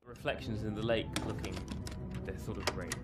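An actor playing a polite, hurried, apologetic stage manager in a comedy game, a man guiding someone backstage. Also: on the low side, steady tone, over loud music, natural voice. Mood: hurried, placating.

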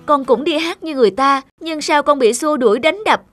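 A woman's voice: high voice, a little fast, fairly bright tone, no room sound, soft background music. Woman voicing a weary young male singer. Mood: pleading. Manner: plaintive, complaining.

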